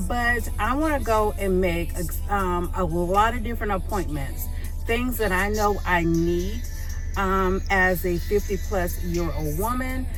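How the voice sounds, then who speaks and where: Woman in her fifties vlogging from the car, chatty, lots of ums, faint music under it. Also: medium pitch. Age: 50s. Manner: chatty, addresses viewers as guys, frequent ums. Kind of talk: casual vlog talk to camera. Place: in a car, driving to appointment.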